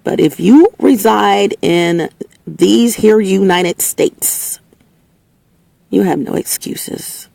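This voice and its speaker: A woman host delivers a casual, opinionated monologue with a touch of hoarseness. Her delivery is emphatic and preachy, full of restarts and repeated phrases. Her voice carries a noticeable gravelly fry and sits in a middling range. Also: mid-range voice, extremely slow, dark tone, no echo. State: slightly hoarse, voice lower than usual. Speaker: host of a spoken opinion segment. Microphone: speaking into a recording setup with a level meter.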